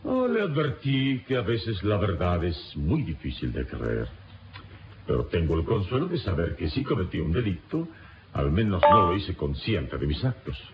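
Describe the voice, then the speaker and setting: Calm noble hero, really low voice, swooping solemn delivery, old radio serial, dull noisy tape. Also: male voice. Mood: calm acceptance. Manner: measured, solemn, formal. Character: calm, resigned turbaned adventurer hero, confessing under interrogation.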